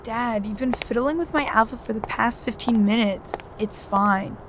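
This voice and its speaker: A woman's voice: on the high side, moderate pace, noisy background, natural voice. A woman playing an exasperated, practical seventeen-year-old daughter in an audio drama, dry and impatient. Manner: dry, impatient, matter-of-fact.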